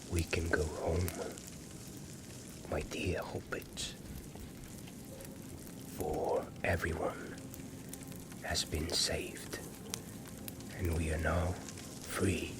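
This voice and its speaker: A man playing a weary, gentle war companion in a fantasy ASMR roleplay, whispering softly and reassuringly with long pauses. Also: low-pitched, noisy background. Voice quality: soft, breathy whispering.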